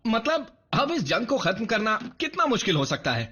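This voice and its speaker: Eager young robot soldier, high-pitched. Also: male voice, sing-song, normal speed, slightly rough, full character voice. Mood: earnest, confident. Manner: brash, earnest, boastful. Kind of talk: animated series dub acting. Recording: dull lo-fi dub under loud music.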